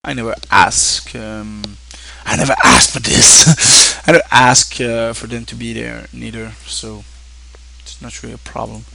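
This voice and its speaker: A French-speaking man from Quebec talks to his viewers in English with a Québécois French accent. His mid-pitched voice has a gravelly fry. He stops, restarts and fills gaps often as he goes. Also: steady tone, bright, forceful tone. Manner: halting, with many fillers and self-restarts. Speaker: streamer. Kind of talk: casual thank-you talk to viewers.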